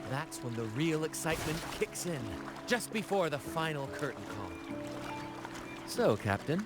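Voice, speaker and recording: A man's voice: a little high, sing-song, measured pace, loud music behind the voice. A man voicing a cheerful, flamboyant young adventurer in a video game, speaking with theatrical, teasing irony. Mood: excited. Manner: theatrical, teasing, grandly ironic.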